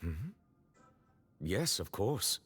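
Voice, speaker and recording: Low-voiced, stiff, solemn gentleman. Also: sing-song, over loud music, full character voice. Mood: awkward, polite. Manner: stiff, measured, courteous. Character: formal, reserved, solemn gentleman of high office. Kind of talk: video game voice acting.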